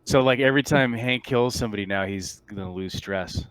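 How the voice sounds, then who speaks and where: A man with a somewhat low voice chats casually among tabletop gamers. His talk is informal and conversational. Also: a little fast, a bit bright, smooth, no room sound, low-quality recording. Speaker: tabletop role-playing game participant. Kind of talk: casual chat.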